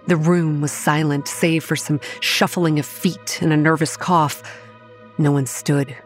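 Low-voiced, defiant young narrator. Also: female voice. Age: young adult. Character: proud, combative young woman running a haunted campground. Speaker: actor and narrator in a horror audio drama. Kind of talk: first-person dramatic narration with dialogue.

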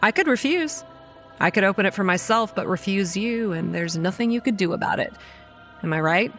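A young campground manager telling her own horror story in an audio drama. She sounds wary, anxious and stubbornly practical, in a mid-pitched voice. Soft music runs underneath. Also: animated, a little fast. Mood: guarded. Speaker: first-person narrator.

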